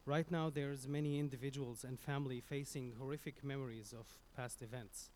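Man, Syrian Arabic accent, Arabic native speaker, public speech, halting, mid-pitched voice, stage microphone. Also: steady tone, darkish tone, a little hiss or hum. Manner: earnest, halting, with many restarts. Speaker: community speaker.

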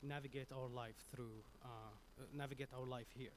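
A man's voice: medium pitch, fairly even intonation, a little hiss or hum. Earnest man with a Syrian Arabic accent. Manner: earnest, halting, with many restarts. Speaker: community speaker. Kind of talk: public speech to an audience. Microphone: stage microphone.

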